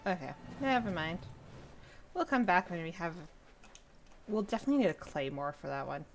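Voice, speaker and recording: Low-voiced woman streaming a game. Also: sing-song, normal speed, faint music behind. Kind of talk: casual live gameplay commentary.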